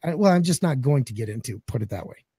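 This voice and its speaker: A man's voice: mid-range voice, expressive, fast, dark tone, slightly rough, no echo, clean studio sound. A man in his forties co-hosting a casual talk show, joking and cursing bluntly, his voice still cracking after losing it. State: recovering voice, some cracking. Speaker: podcast co-host. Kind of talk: casual chat.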